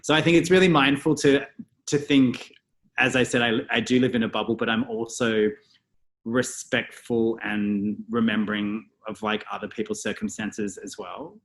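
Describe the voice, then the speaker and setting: Low-voiced Australian man musing aloud. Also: steady tone, normal speed. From Australia (Melbourne). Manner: reflective, thinks aloud with many "um" and "you know". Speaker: guest, stylist and photographer. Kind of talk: casual interview chat.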